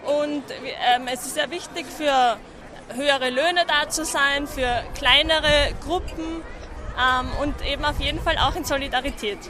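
A woman's voice: sits high, steady tone, unhurried, background noise. A young woman, a student activist giving earnest, carefully phrased answers in a street interview. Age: young adult. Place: outdoors at a demonstration. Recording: outdoor recording amid demonstration crowd.

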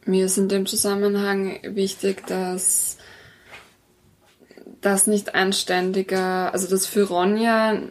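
A woman's voice: mid-range voice, flat delivery, slow pace. A woman speaking in a considered, earnest way in a conversational interview. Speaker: interviewee, parent in a polyamorous family.

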